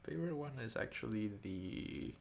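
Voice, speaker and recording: A man's voice: fairly low pitch, lively intonation, moderate pace, creaky, slight background noise. A man with a light New Zealand English accent, chatting casually and wryly on a live stream, often correcting himself. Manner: rambling, self-correcting, wry. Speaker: indie game developer streaming a devlog.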